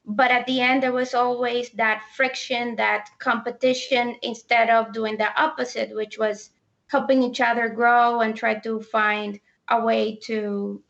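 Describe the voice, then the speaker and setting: A young woman from the Dominican Republic with a Dominican Spanish accent, speaking thoughtfully with many pauses in a casual discussion. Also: a little high, level tone, vocal fry, fairly bright tone, lo-fi sound. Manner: thoughtful, hesitant with many ums.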